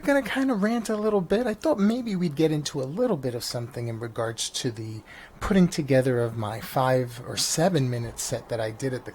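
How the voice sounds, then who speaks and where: A man from New York, a stand-up comedian, rambles through his own vlog podcast in a loose, animated way, circling back and restarting thoughts. His voice sits at an ordinary middle pitch. It comes through a slightly janky mic, with a faint engine hum in the background. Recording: faint engine noise behind. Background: engine noise.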